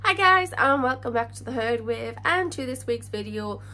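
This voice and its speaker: A woman's voice: fairly high pitch, expressive, moderate pace, over music. A woman in her thirties with a congested, slightly crackly voice from a cold, hosting a fish-keeping channel and chatting to the camera in a rambling, self-correcting way. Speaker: aquarium channel host. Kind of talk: casual talk to camera. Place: living room in front of a fish tank.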